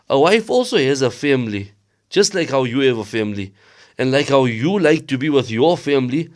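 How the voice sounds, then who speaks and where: A man's voice: mid-range voice, close to an everyday voice. Patient sheikh teaching class , acted by a man. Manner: measured, instructive, lesson by lesson. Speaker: Islamic studies teacher. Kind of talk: scripted audio drama acting.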